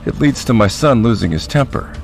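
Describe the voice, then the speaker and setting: An actor playing a menacing crime boss in a radio drama, speaking with controlled, deliberate threat. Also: male voice, medium pitch, lively intonation, vocal fry, soft background music. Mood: cold menace.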